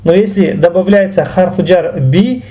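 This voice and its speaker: High-pitched, mellow-voiced male language teacher. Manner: didactic, deliberate enunciation of examples. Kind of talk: explanatory video lesson.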